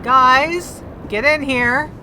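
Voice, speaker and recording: An actress in an audio drama playing a brisk, bossy modern woman adventurer, blunt and sardonic. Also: high voice, background noise. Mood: brisk, impatient.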